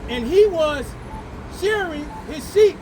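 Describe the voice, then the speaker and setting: Male scripture reader, extremely high voice, declamatory, repeats lines on cue, outdoor street, clear background noise. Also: animated, slow pace. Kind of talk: reading scripture aloud outdoors.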